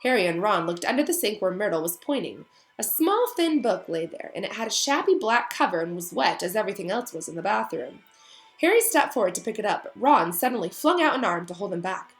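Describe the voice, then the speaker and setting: A woman reading a children's novel aloud as narrator, in an expressive storytelling style. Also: medium pitch, fairly quick, faint music behind.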